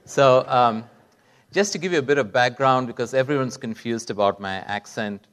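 A man's voice: medium pitch, normal speed, a bit bright. A middle-aged man, a doctor telling his own story as a guest speaker on a conference stage. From Kuwait and South India. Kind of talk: public talk, personal storytelling.

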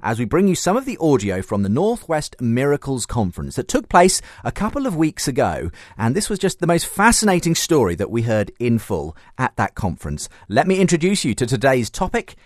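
A man's voice: mid-range voice, wildly swooping pitch, brisk, hardly any pauses, no room sound, clean studio sound. A man presenting a radio programme as its host, introducing items and guests.

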